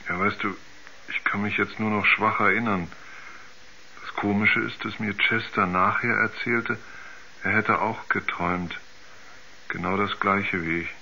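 An actor playing a troubled astronaut who haltingly recalls his moon journey in a colloquial way, in a 1970s German radio play. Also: on the low side, fairly even intonation, vocal fry, pushed and bright, slight background noise, exaggerated voice. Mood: uneasy, reflective. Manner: halting, colloquial, searching for memories. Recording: muffled 1970s radio recording.

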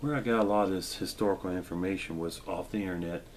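A man's voice: low voice, fast talker, a little hiss or hum. A middle-aged man chatting casually, with frequent fillers, as he demonstrates a camping mess kit at a table and then in his backyard. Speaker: gear reviewer. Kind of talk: casual show-and-tell demonstration.

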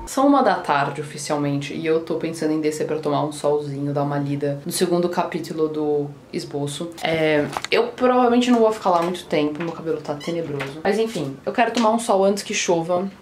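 A woman's voice: sits low, brisk, hardly any pauses, over music. A woman in her mid-twenties with a São Paulo Brazilian accent, chatting casually and informally to a camera at home, slangy and self-deprecating. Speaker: vlogger. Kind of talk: casual daily vlog chat to camera. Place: small rooms at home, indoors.

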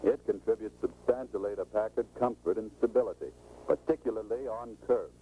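A man's voice: lowish voice, a little fast, narrow, tinny audio, a little hiss or hum. A middle-aged American man giving scripted, instructive, salesmanlike narration in the style of a 1940s training film, on an old film soundtrack. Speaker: automotive expert presenting a sales training film.

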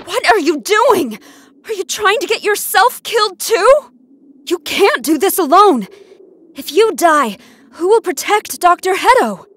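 A woman voicing an excitable, forthright and eager character with emphatic delivery in an anime game cutscene. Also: very high voice, measured pace, heavily acted. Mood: alarmed, scolding.

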